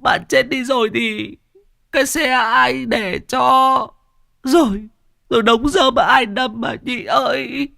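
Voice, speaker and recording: A man's voice: very high voice, steady tone, creaky, bright, forceful tone, voice pushed up, exaggerated voice. A man voicing a melodramatic old man who sobs and wails over his dying friend, in an audio story. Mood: wailing. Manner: sobbing, wailing and drawn-out cries.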